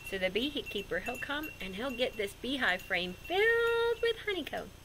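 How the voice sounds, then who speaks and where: Animated woman reading aloud for children. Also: fairly high pitch, moderate pace, a little hiss or hum. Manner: expressive, explaining simply to children. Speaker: read-aloud storyteller for children. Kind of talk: animated picture-book read-aloud with explanatory asides.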